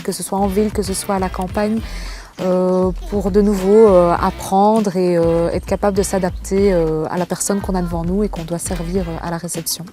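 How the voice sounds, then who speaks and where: A woman in her thirties speaks in a mellow, dark-toned voice. She pauses often and hesitates. Faint music plays underneath. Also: medium pitch. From Belgium. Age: 30s. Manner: hesitant, with many fillers. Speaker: hotel receptionist. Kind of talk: promotional testimonial.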